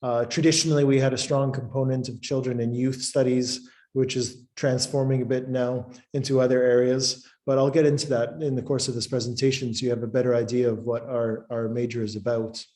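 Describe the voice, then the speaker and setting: Middle-aged man from Canada, professor type, halting delivery, video-call audio. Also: mid-range voice, flat delivery, dark tone. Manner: hesitant, many ums and restarts. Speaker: professor and programme convener. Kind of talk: webinar presentation.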